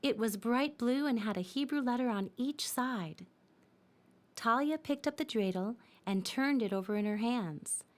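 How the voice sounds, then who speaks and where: A woman narrating a scripted children's story in a simple, expressive storytelling manner. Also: a little high, normal speed.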